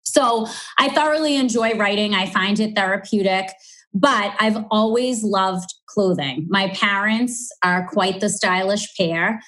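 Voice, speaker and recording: Boston lady in her 40s chatting away on a podcast, middle pitch. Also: a little slow, fairly bright tone, rough recording. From Boston, USA. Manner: chatty, storytelling. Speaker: podcast guest. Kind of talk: casual interview chat.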